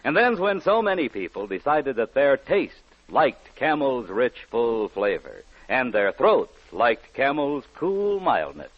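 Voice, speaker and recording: A dull vintage radio recording of a male commercial announcer. He has a mid-pitched, very lively voice and an emphatic, selling delivery in 1940s style. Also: measured pace. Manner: emphatic, salesmanlike.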